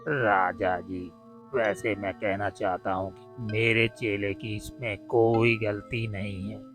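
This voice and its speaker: A man voicing a calm, clever guru in a cartoon, measured and persuasive in his reasoning. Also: fairly low pitch, faint music behind. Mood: calm persuasion.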